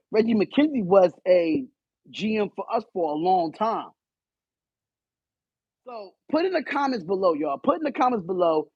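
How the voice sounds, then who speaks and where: A man hosting a live stream, loud and animated, swearing and arguing back at his chat. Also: sits high, husky, no echo, lo-fi sound. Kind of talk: casual live stream rant.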